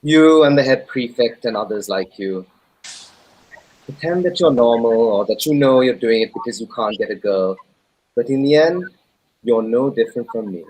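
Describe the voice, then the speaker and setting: Man reading as a bitter husky-voiced schoolboy. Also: medium pitch, normal speed, a little mellow, close to an everyday voice, poor audio. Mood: bitter, accusing. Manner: accusing, pointed. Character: bitter, wounded teenage schoolboy. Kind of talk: dramatic book reading.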